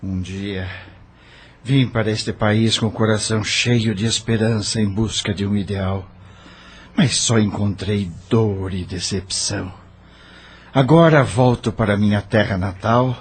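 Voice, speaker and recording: A man's voice: lowish voice, husky, heavily acted. A man playing a sad, disillusioned widowed father in an audio drama, speaking in an anguished soliloquy.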